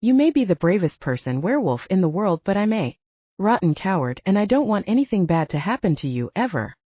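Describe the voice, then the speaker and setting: A woman's voice: mid-range voice, very animated, brisk, mellow, breathy edge, dry recording, lo-fi sound. A woman reading the lines of a nervous, self-mocking young human woman in an audiobook. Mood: anxious.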